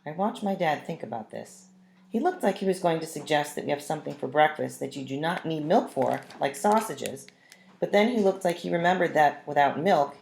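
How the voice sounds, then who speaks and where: Low-voiced woman reading a children's storybook aloud. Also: creaky, a little mellow, slight background noise. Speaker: read-aloud narrator. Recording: roomy, echoing room sound.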